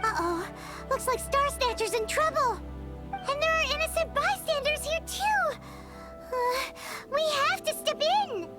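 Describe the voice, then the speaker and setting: A woman voicing a tiny, excitable childlike fairy companion in a video game, squeaky and exclamatory. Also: unhurried. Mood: worried, urgent. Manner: speaks of self in third person, exclamatory. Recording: game music behind the voice.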